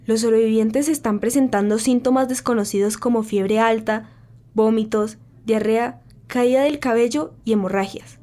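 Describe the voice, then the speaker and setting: A woman's voice: a little high, moderate pace, mellow, close to an everyday voice. A woman playing a solemn radio newsreader of the 1940s, delivering scripted war news in a formal, grave, dramatic way.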